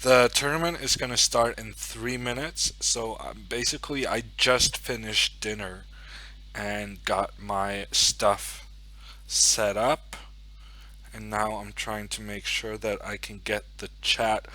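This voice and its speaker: A man's voice: fairly low pitch, fairly even intonation, slow, pushed and bright, rough recording. A young man with a Norwegian accent chatting casually on a live stream, thinking aloud and muttering to himself as he sets up. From Norway. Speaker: chess streamer.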